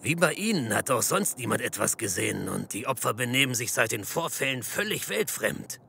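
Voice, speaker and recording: Haughty police captain in an audio play, gravelly n sharp, very up and down, formal. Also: male voice, mid-range voice, very animated, a little hiss or hum, exaggerated voice. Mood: stiff, businesslike. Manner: stiffly formal, haughty, hesitant pauses. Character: pompous, disdainful male police captain. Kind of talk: comedy audio drama acting.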